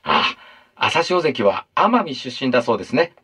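Courteous 1950s field reporter, mid-pitched, animated intonation, careful formal diction. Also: male voice, moderate pace, breathy edge, dry recording, exaggerated voice. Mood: kindly, formal. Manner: polite, formal, carefully enunciated. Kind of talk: radio drama, 1950s formal reporting and interviewing.

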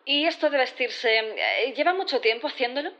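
High-voiced, composed female prosecutor. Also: animated, normal speed, sharp tone, highs cut off, exaggerated voice. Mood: probing, polite. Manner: formal, methodical, insistent. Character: composed, probing female prosecutor in a sci-fi courtroom. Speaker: actor in audio fiction. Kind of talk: audio drama acting, courtroom questioning.

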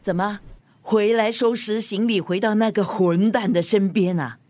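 A woman's voice: mid-range voice, steady tone, normal speed, a little mellow, natural voice. An actress playing an older, bull-tempered grandmother in a radio drama, scolding stubbornly and protective of her family. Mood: bitter, warning. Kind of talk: Chinese radio drama acting.

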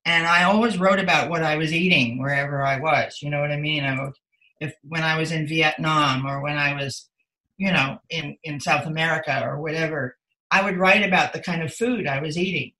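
A woman's voice: low voice, a little fast, pushed and bright, low-quality recording. An older woman giving reflective, earnest answers as a guest in a conversational interview, repeating words for emphasis.

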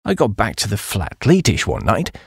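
A man voicing a flighty, excitable young upper-class English gentleman in comic audiobook narration, with breezy, slangy, exclamatory delivery. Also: on the low side, moderate pace, vocal fry, breathy edge, no room sound, studio quality, voice pushed down, full character voice. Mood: chatty, earnest. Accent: British upper-class. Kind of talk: first-person comic audiobook narration in character.

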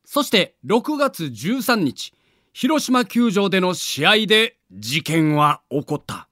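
A man's voice: high voice, sing-song, slow pace, sharp tone. A man narrating a scripted sports documentary in a dramatic, emphatic manner.

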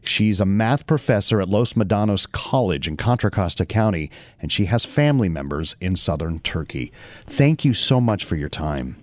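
A man anchoring radio news, reading in a measured, formal way. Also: sits low, expressive, darkish tone, no room sound.

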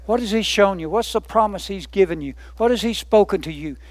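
High-voiced emphatic preacher man. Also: animated, fairly quick, no room sound. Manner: emphatic, builds by repetition, rhetorical questions. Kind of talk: sermon.

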